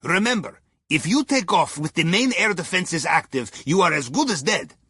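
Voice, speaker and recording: Raspy, animated Russian submarine captain. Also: male voice, on the high side, moderate pace, dry recording, heavily acted. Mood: focused, wry. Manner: dry humour, clipped broken English. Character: wry, jovial Russian submarine captain guiding a heist crew. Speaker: mission guide voice in a video game. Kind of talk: scripted video game radio dialogue.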